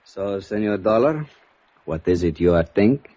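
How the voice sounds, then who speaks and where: An actor playing a formal, probing Mexican police officer with a Mexican accent in a 1950s radio drama. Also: male voice, fairly low pitch, animated, unhurried, warm tone. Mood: measured. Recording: muffled 1950s radio recording.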